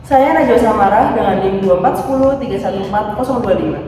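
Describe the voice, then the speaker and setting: A woman's voice: medium pitch, quick pace, mellow. A young woman delivering a scripted explanation as a student presenter. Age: young adult. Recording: echoey hall with background noise.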